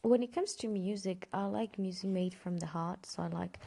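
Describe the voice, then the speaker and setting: Woman, 30s, gravelly fry, easygoing interview guest who rambles with fillers. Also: mid-range voice, moderate pace. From Romania. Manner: relaxed, rambling, with fillers and small laughs. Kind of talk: casual interview answers.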